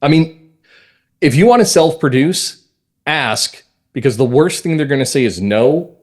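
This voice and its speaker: A man's voice: on the high side, expressive, unhurried. A man chatting casually as an interview guest, telling anecdotes about his creative work with enthusiasm. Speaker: podcast guest, audio drama writer and producer.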